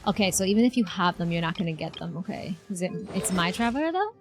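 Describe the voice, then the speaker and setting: A woman livestreamer reacting to a game in casual, excitable, slangy chat. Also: fairly low pitch, fast, over music.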